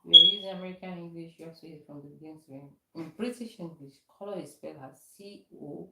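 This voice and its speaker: Low-voiced Nigerian woman, echoey room. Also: vocal fry, pushed and bright. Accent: Nigerian Yoruba. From Nigeria, Oyo State. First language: Yoruba. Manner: unhurried, explains with examples. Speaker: salon owner. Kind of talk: casual chat.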